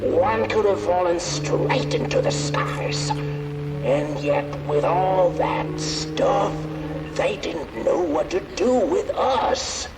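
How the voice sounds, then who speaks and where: An actor voicing a tiny, timid folk creature who narrates a wistful storybook tale in a fantasy game. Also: male voice, fairly high pitch, takes it slowly, poor audio. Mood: wistful, wondering. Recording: loud music behind the voice.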